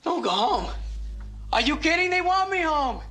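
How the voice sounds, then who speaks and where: A man acting an agitated high-pitched teenage boy. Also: sing-song, moderate pace, sharp tone, heavily acted. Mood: manic, sarcastic. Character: agitated teenage rich boy, paranoid and defiant. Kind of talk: film acting. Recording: film clip with music behind. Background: film music.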